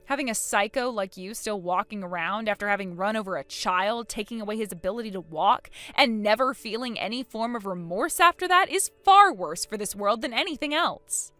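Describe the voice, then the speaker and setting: A woman's voice: medium pitch, expressive, brisk, hardly any pauses, sharp tone, no echo. A woman playing a protective, outspoken mother in a melodramatic audio story, speaking earnestly and with emphasis. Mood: cold, scornful. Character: fiercely protective, outspoken, emotional mother of a young son.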